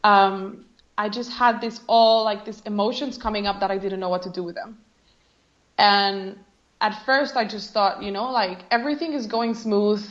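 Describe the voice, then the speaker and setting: A woman's voice: medium pitch, moderate pace, lo-fi sound. A woman from Colombia with a Colombian Spanish accent, a guest telling her story reflectively, often saying "like". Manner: reflective, uses many "like" fillers, laughs softly. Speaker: guest, creativity coach. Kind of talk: casual interview.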